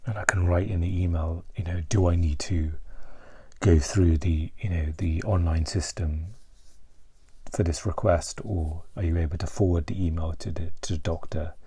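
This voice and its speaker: A man speaking softly and haltingly in a confessional vlog, searching for words and at times trailing toward a whisper. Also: low voice, steady tone, breathy edge. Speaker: mental health vlogger.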